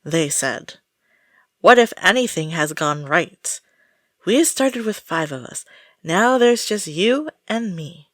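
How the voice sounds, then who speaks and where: A woman playing an exasperated, jumpy friend caught up in a haunted-house outing, sarcastic and expressive, in an audio roleplay. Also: lowish voice, takes it slowly, no echo, clean studio sound.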